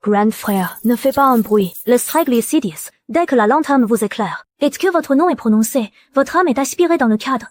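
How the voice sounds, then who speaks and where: A childlike animated ghost girl with a high little voice. She sounds earnest and clingy, recorded close and dry. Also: normal speed, few pauses, dry recording. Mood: hushed warning. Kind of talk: French-language animated series acting.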